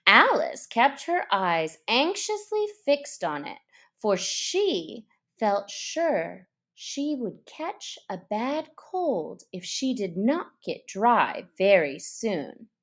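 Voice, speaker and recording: Very slow, expressive woman storyteller. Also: a little high. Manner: expressive, deliberate. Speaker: storybook reader. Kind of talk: scripted children's story narration.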